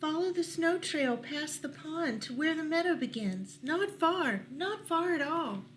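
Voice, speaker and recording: A very high, gentle storybook dove, acted out by a woman, cooing helpfully to the other animals. There is some noise behind the voice. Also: lively intonation, measured pace, low-quality recording.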